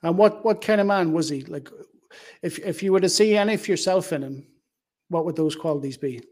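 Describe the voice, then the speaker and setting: A man's voice: on the high side, a little fast, a little mellow. A man hosting a conversational interview, speaking earnestly and feeling his way through his phrasing. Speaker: podcast host, interviewer.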